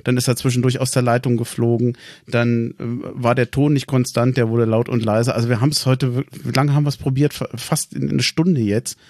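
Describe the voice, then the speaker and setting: A man's voice: medium pitch, little pitch movement, fairly quick, darkish tone, dry recording, clean studio sound. Chatty man hosting a fan podcast. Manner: chatty, repeats words when starting sentences. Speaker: podcast host. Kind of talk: casual fan podcast chat.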